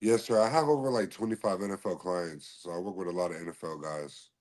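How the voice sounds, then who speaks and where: A man from Massachusetts speaking in a very deep, low voice as a podcast guest. He answers politely and calls the host "sir". His replies come in short, halting bursts with plenty of fillers. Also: darkish tone. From Massachusetts, USA. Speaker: podcast guest, slap fighter and bodyguard. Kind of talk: casual interview answers.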